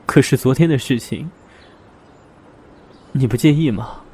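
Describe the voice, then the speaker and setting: A man acting a gentle, reflective young man in an audio drama, wistful and hesitant about lost love. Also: medium pitch, a little slow, slightly rough.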